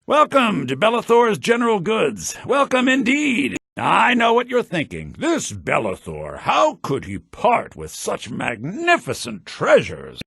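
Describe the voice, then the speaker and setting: A man's voice: huge pitch swings, unhurried, creaky, few pauses, a bit bright, slightly rough, no echo, clean studio sound, exaggerated voice. An actor playing an oily, greedy shopkeeper in a fantasy video game, with fawning, wheedling salesman patter. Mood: smug, welcoming. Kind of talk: video game character acting. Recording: lo-fi low-bitrate game audio.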